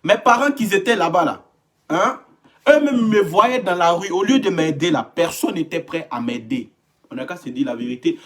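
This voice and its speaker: A man's voice: sits high, expressive, normal speed, crowd noise. Impassioned man streaming live. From West Africa. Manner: greets viewers by name, grows emphatic and impassioned. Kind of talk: casual live stream monologue. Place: home indoors.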